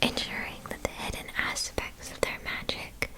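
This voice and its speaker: A woman playing a gentle, attentive witch-school shopkeeper in a soft, soothing ASMR roleplay. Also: normal speed, natural voice, poor audio. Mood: calm, attentive.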